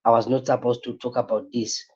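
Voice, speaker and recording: A man's voice: mid-range voice, flat delivery, a little fast, husky, muffled recording. A tired man, coaching a live online class and repeating his points with rhetorical questions. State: tired, voice strained.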